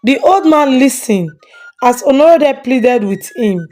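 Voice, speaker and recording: Casting: female storyteller for scripted folktale narration, higher pitch, light music bed. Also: lively intonation, a little slow, mellow.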